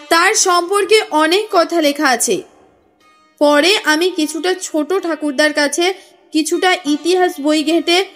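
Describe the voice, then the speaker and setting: A woman voicing an earnest, courteous businessman in an audio story, speaking in a formal, measured, explanatory way. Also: extremely high pitch, fairly bright tone. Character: earnest, courteous businessman recounting his family history. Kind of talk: audio story character reading. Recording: music under the voice.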